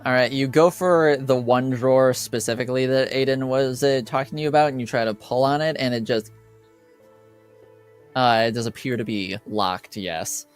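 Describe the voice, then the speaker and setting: A man working as game master, narrating an improvised tabletop game in a casual, halting, conversational way. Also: medium pitch, clean tone, soft background music.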